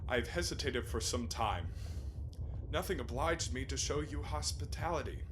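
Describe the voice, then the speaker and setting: A man acting a proud, commanding submarine captain in a radio play, speaking in a formal, measured, imperious way. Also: medium pitch, natural voice. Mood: cold, measured. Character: proud, commanding submarine captain who has renounced society. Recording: faint background noise.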